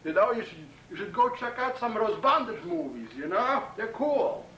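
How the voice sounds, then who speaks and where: A man's voice: sits high, very animated, moderate pace, sharp tone. A man with a Brooklyn accent rambles profanely to a friend on a home camcorder tape in his apartment bedroom. He boasts, makes threats, stutters through restarts and says "man" constantly. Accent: Brooklyn New York. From Brooklyn, New York. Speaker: home video maker. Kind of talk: rambling camcorder address to a pen pal. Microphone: camcorder on a tripod. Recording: roomy, distant 1990 camcorder tape with hiss.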